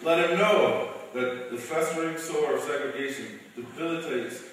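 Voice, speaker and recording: A man's voice: fairly high pitch, normal speed, a bit bright, close to an everyday voice. A young man, a student reader, playing a solemn civil rights preacher giving a speech in a dramatic reading. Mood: solemn, exhorting. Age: young adult. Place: large school chapel. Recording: echoey hall, distant mic, faint noise.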